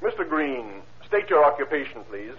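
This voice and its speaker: An actor playing a formal, methodical courtroom prosecutor in 1930s radio style, questioning deliberately and crisply. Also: male voice, on the high side, big pitch swings. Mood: formal, probing. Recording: muffled old radio recording.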